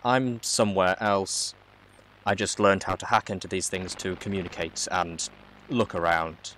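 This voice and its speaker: A man's voice: sits low, fairly even intonation, unhurried, a bit bright, a little hiss or hum, rough recording. A man voicing a mysterious hacker who speaks through a robot in a storybook reading, a blunt, helpful guide with a casual, matter-of-fact manner.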